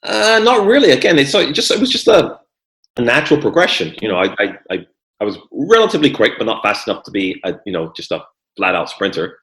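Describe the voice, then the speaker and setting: A man's voice: medium pitch, big pitch swings, quick pace, a bit bright. A middle-aged man from Guyana, raised in England, talking easily as a podcast guest about his sports career and often repeating words as he starts a sentence. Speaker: former Olympic hurdler and trainer, guest. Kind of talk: conversational interview. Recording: echoey room.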